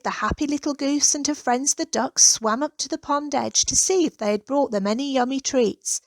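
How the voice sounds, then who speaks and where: A woman narrating a children's storybook in an expressive, deliberate storytelling manner. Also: fairly high pitch, gravelly, no echo. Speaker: author reading own children's story.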